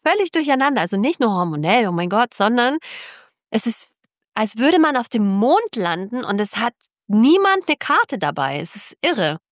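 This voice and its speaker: A woman's voice: fairly high pitch, big pitch swings, moderate pace, dry recording. Middle-aged woman, emphatic talker, very dry sound. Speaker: interviewed author. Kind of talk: podcast interview talk.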